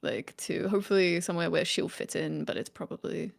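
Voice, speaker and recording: A woman playing a tabletop role-playing game, describing her actions in casual, hesitant, soft-spoken chat. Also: lowish voice, steady tone, fairly quick, gravelly.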